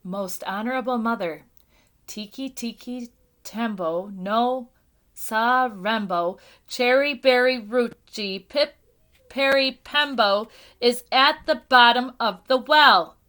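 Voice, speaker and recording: Woman reading little boy voice, breathless, urgent, high, bright, storybook. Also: normal speed, few pauses. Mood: slow, careful. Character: small frantic boy, out of breath.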